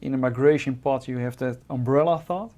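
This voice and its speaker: A man's voice: medium pitch, fairly quick, mellow. A man co-presenting, giving a conversational technical explanation.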